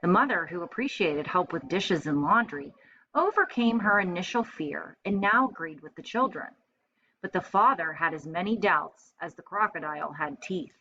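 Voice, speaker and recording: Lower-voiced woman reading to children, dull recording. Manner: expressive, pausing to explain words simply. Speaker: first grade teacher reading aloud. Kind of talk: storybook read-aloud for young children. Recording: dull lo-fi home recording with faint noise.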